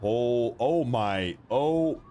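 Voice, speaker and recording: A man's voice: medium pitch, animated, very slow, mellow, slight background noise. A man hosting a live stream, reacting informally and commenting on breaking news in a casual way.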